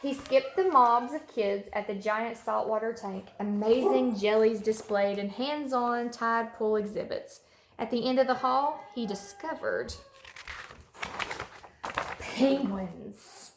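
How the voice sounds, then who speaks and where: A woman's voice: on the high side, slow. A woman preschool teacher talking and reading a storybook aloud to young children in simple language, at home. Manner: simple, child-directed explanations. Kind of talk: storybook read-aloud with casual chat to children. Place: indoors at home, echoey room. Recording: roomy echo, clear background noise.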